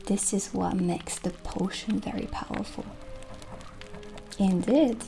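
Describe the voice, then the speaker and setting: A woman in her thirties playing a kindly witch shopkeeper in a gentle, soothing, soft-spoken ASMR roleplay. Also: medium pitch, slow pace, vocal fry. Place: small candlelit indoor set. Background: soft background music.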